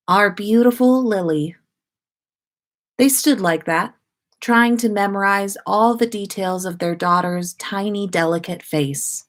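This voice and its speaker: A woman narrates a story aloud. Her voice sits a little low for a woman, and she reads slowly and expressively, as a story-time host would. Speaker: host reading listeners' stories on a livestream.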